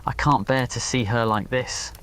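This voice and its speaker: Guy doing a stubborn young wizard schoolboy for an asmr story, guarded, earnest, mid pitch. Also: steady tone, normal speed, fairly bright tone, close to an everyday voice. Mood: quiet, worried. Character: defiant, devoted young male wizard student.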